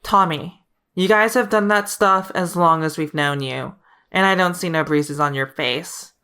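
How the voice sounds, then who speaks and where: A woman's voice: fairly low pitch, a little slow, pushed and bright, clean tone. A woman playing a frank, worried teenage heroine in an audio drama, direct and persuasive in conversation. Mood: pressing.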